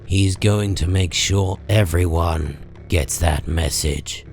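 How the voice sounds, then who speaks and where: A low-voiced man reads scripted comic book narration. He is measured and grave, taking his time over each phrase, with soft music playing underneath. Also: creaky.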